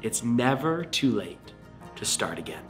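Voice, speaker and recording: A man's voice: medium pitch, very animated, measured pace, soft background music. A young man preaching as a pastor to camera in a small room, earnest and fervent, sounding a little congested. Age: young adult. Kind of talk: livestream sermon and altar call. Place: small indoor room before a screen.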